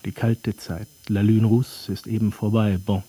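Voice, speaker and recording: An actor playing a brooding, sardonic narrator in a literary radio play, speaking in terse, dry, deliberate fragments. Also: male voice, fairly low pitch, flat delivery, dark tone, slightly rough, dry recording. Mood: wry, observing.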